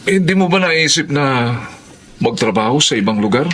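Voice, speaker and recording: A man acts out a courteous, curious young Filipino man in a radio drama. His voice sits at a medium pitch, with faint noise in the background. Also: expressive, moderate pace, a bit bright, natural voice. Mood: curious, eager.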